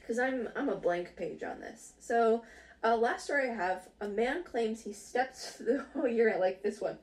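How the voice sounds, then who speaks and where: A woman's voice: fairly high pitch, expressive, moderate pace, recorded from a distance. Giggly woman podcast host. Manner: giggly, swears casually. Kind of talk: casual chat, reading out stories.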